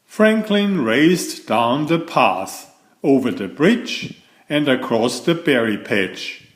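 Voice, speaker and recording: A man reading a bedtime picture book aloud in an expressive, deliberate storybook manner. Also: mid-range voice. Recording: echoey room sound.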